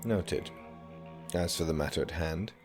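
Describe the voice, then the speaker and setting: A man acting in an audio drama as a young scholarly prince, speaking with dry, measured, formal wit. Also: very deep voice, darkish tone, soft background music. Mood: guarded.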